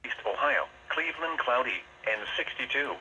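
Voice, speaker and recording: A man's voice: medium pitch, expressive, normal speed, lo-fi sound. A man's voice reading an automated weather bulletin as an announcer, played through a radio speaker. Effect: radio broadcast through a small speaker. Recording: noisy radio speaker picked up in room.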